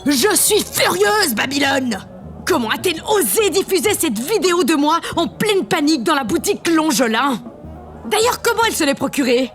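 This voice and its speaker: An imperious, vain woman president, speaks in a high, sweeping voice. She is theatrical and haughty, as in an audio drama, over faint music. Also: sing-song, normal speed. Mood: furious.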